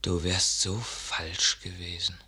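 An actor playing an idealistic, anguished young officer and son, impassioned and theatrical, in a 1950s German radio verse drama. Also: male voice, low-pitched, flat delivery, slow pace, exaggerated voice. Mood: anguished, reproachful. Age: young adult. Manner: impassioned, theatrical, swinging from hushed to crying out.

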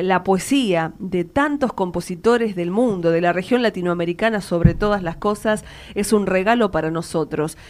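An Argentine woman hosting a casual, chatty radio interview in an effusive, affectionate manner. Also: mid-range voice. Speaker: radio show host. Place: radio studio.

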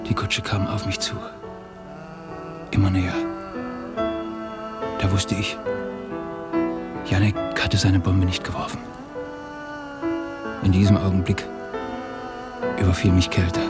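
A man acting in a German radio drama as an ashamed, despairing Russian revolutionary, halting and confessional, often hushed. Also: sits low, monotone. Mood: ashamed, fearful. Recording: studio radio play with music behind.

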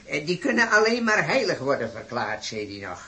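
A man's voice: fairly high pitch, wildly swooping pitch, brisk, bright, forceful tone, full character voice. A man playing a gullible, stubborn rich farmer in a radio play, plain-spoken, earnest and grumbling. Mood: stubborn, proud.

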